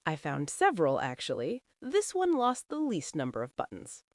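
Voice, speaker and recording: A woman's voice: sits low, huge pitch swings, moderate pace, darkish tone, dry recording. A young cowboy of few words, acted by a woman in a Western audio drama. He is reserved and understated, with dry wit, recorded close and dry. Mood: dryly amused.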